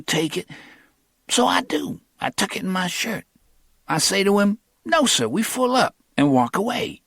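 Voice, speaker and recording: A high, lively voice plays a streetwise young hustler, quick and clipped in his street talk, boastful and swinging all over in pitch. The reading is close and dry. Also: dry recording. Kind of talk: audiobook character reading.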